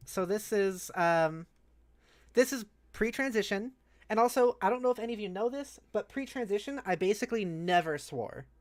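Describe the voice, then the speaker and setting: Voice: mid-range voice, lively intonation, moderate pace. A streamer host chatting casually and animatedly with viewers on a livestream. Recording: music playing behind the voice.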